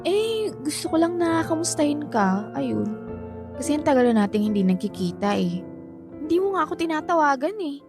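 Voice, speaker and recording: A headstrong young Filipina in a radio drama, defending the older man she loves. Her voice is high and highly animated, pleading and stubborn, with music clearly underneath. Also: fairly quick. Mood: stubborn, hurt. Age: young adult.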